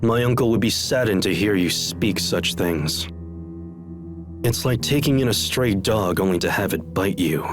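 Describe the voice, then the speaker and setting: An actor playing a haughty, scheming noble officer in a video game, with a smug, mannered menace. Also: male voice, fairly low pitch, lively intonation, moderate pace, close to an everyday voice. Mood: disdainful. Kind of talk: dramatic video game voice acting. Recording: music behind the voice.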